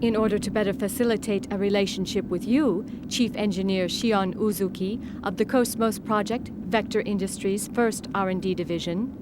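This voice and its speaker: An emotionless female android from a video game, played by a woman, speaks with measured, formal politeness. Every phrase is flat and literal, over clearly audible background noise. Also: medium pitch, lightly acted. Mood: impassive, courteous.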